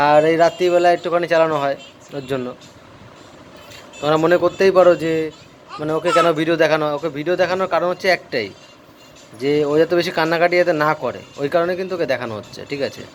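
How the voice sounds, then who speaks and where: Higher-pitched man chatting over background noise. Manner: repeats short phrases. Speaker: family vlogger. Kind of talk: casual home vlog chat, talking to viewers. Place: at home with a small child.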